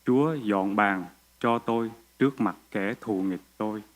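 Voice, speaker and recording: A man reading a psalm aloud in a church service with steady, even recitation. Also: lowish voice, moderate pace. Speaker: scripture reader. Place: church sanctuary.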